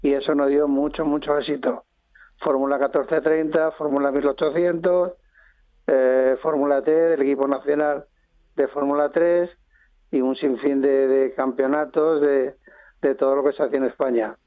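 An older man answers radio interview questions, pausing often and restarting his sentences. He is at an ordinary pitch. His voice comes through a thin, phone-like line with no room sound. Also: steady tone, no echo. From Spain (Madrid). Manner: halting, with many pauses and restarts. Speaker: interview guest, businessman.

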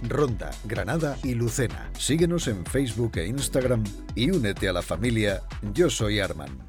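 A man's voice: lowish voice, normal speed, over music. Upbeat male ad announcer. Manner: upbeat, steady listing. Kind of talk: radio ad read.

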